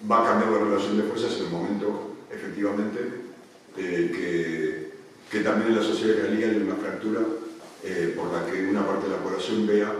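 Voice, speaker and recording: Deep-voiced man, echoey room. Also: fairly even intonation, normal speed, slight background noise. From Argentina. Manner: hesitant, many restarts and fillers. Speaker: panel speaker. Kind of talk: public talk at a union event. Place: meeting room at a union headquarters. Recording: echoey room, speaker far from mic.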